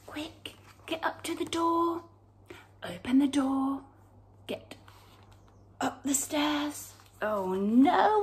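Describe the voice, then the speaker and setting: A woman in her thirties reading a picture book aloud to young children in an expressive, theatrical way, drawing out words and dropping into hushed tones. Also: extremely high pitch. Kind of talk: animated children's storytelling. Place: small room at home, indoors.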